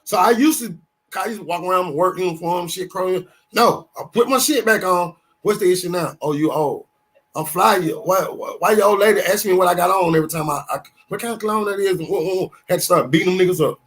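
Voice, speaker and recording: A man in his fifties talking casually on a podcast, animated and swearing, full of restarts as he tells stories. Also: high voice, quick pace, poor audio. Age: 50s.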